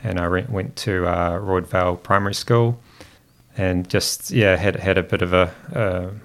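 A middle-aged man from New Zealand with a Kiwi accent, raised speaking Greek, chatting reflectively and haltingly as an interview guest. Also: low-pitched, fairly even intonation, fairly bright tone. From Christchurch, New Zealand. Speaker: podcast guest, founder. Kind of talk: casual reflective interview chat.

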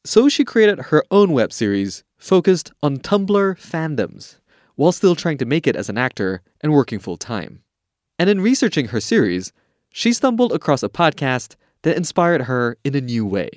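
A man's voice: fairly high pitch, big pitch swings, moderate pace, darkish tone, no room sound, clean studio sound. A man hosting a podcast and reading scripted documentary narration.

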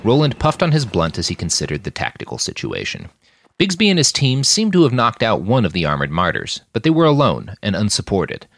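Male narrator for fiction podcast. Lowish voice, hugely varied intonation, dry close sound. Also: brisk, dry recording. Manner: expressive, acting out dialogue. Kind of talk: dramatic audiobook-style narration of military science fiction.

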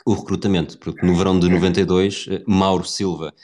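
Podcast co-host, male, European Portuguese accent, deep voice, conversational sports storyteller with a halting, think-aloud delivery. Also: flat delivery, darkish tone. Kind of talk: conversational football history storytelling.

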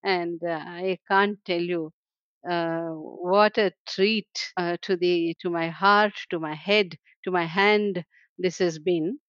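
An older woman with an Indian English accent, talking reflectively as a guest in an interview, with many "you know"s and soft laughs. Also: on the low side, fairly even intonation, slow, no room sound, lo-fi sound. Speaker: translator and scholar guest.

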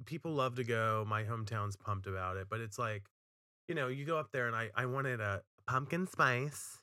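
A man co-hosts a casual podcast from his home studio, telling everyday stories with theatrical, mock-outraged flair. His voice is bright and punchy, and the recording is dry, with no room echo. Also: mid-range voice, moderate pace. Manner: animated, dramatic storytelling with mock outrage. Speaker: podcast co-host. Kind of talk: casual chat.

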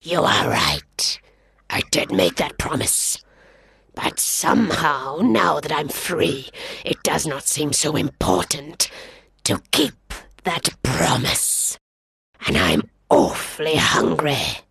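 A woman voicing a big, proud, hungry male lion with a deep, growling, dismissive drawl in a storybook. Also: very animated, takes it slowly, vocal fry, a bit bright, breathy edge, dry recording, heavily acted. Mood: gruff menacing.

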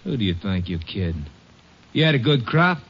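An American actor playing a bitter, lazy farm brother with a taunting rural drawl in a western radio drama. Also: male voice, fairly low pitch, big pitch swings, moderate pace, breathy edge, exaggerated voice. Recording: muffled old radio broadcast.

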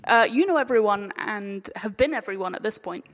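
A woman's voice: medium pitch, lively intonation, brisk. A woman chairing a live panel in an informal, casual way, putting questions to the guests. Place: live event panel in a hall. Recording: echoey hall with long reverb.

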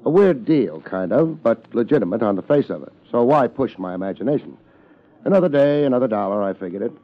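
An American actor playing a wry, world-weary private detective in a 1950s hardboiled radio drama, with deadpan wisecracks. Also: male voice, medium pitch, a little fast, close to an everyday voice. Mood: wry narration. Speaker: lead radio actor and narrator. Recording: dull 1950s radio recording, music behind.

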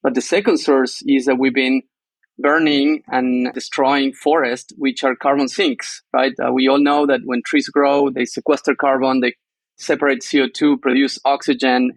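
A man's voice: medium pitch, flat delivery, moderate pace, vocal fry, dry recording, poor audio. A man from Argentina with an Argentine Spanish accent, explaining things as an interview guest. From northern Argentina. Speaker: startup co-founder, interview guest.

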